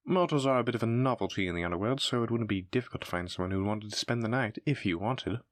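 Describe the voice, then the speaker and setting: A man's voice: fairly low pitch, fast, no echo, close to an everyday voice. A man playing a polite, eager demon front desk clerk in a scripted roleplay, with formal, effusive hospitality patter. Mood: courteous, persuasive. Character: courteous, eager demon hotel receptionist, smooth salesman. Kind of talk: scripted roleplay audio addressed to the listener.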